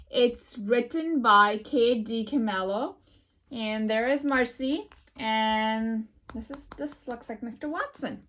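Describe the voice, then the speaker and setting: High-voiced woman reading aloud to children. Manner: expressive, addresses listeners as friends, pauses to explain pictures and words. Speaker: teacher reading a picture book aloud to children. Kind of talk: read-aloud lesson for young children with asides.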